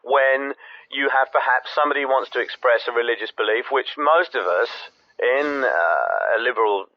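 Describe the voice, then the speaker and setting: A man's voice: medium pitch, animated, moderate pace, dry recording, lightly acted. Man playing earnest rambling caller, dull dry line. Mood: earnest, ponderous. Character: earnest, long-winded male political activist caller. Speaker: phone-in caller. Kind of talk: hesitant political monologue on radio phone-in.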